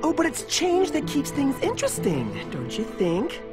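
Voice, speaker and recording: A woman's voice: sits high, wildly swooping pitch, normal speed, over loud music. A woman playing a flirty, teasing woman bartender in a dubbed video game cutscene, with a gushing drawl. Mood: smooth, musing.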